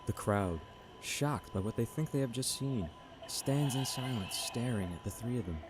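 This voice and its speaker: A man's voice: mid-range voice, expressive, moderate pace, background noise, close to an everyday voice. A man playing the dramatic, playful narrator of a holiday adventure audio drama.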